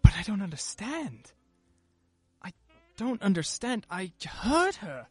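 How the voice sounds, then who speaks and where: A man's voice: sits high, very animated, slow pace, a little mellow, slightly rough, no echo, soft background music, exaggerated voice. Irritable husband in a radio play, acted by a man. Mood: shaken. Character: troubled, irritable well-to-do husband hosting a party.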